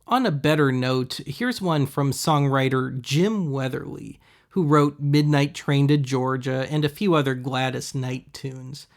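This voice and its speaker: A man's voice: mid-range voice, a little slow. A man hosting a thrift-shopping video, giving casual voice-over commentary in a wry, sarcastic manner.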